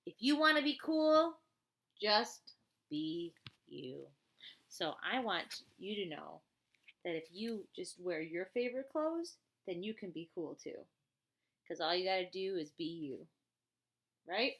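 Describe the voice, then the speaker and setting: A woman's voice: lowish voice, lively intonation, unhurried, long pauses, fairly bright tone, a little hiss or hum. A woman reading a children's picture book aloud as a teacher, playful and chatty, with casual asides and questions to a young listener.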